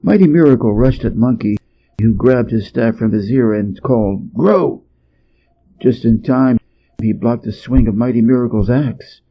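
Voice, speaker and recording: A male storyteller narrates a storybook expressively. His mid-pitched voice is husky, and the recording is slightly dull and lo-fi. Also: normal speed. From Hawaii, United States.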